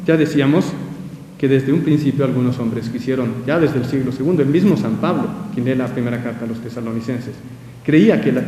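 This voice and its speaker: A man with a Mexican accent, a priest giving a measured public lecture with rhetorical questions. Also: medium pitch, mellow, a little hiss or hum. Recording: echoey hall with long reverb.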